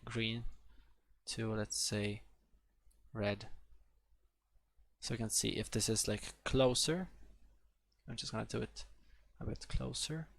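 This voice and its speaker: A man's voice: fairly low pitch, gravelly. A young man with a Polish accent, giving a live coding tutorial in a hesitant, filler-heavy way with frequent restarts. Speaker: coding instructor.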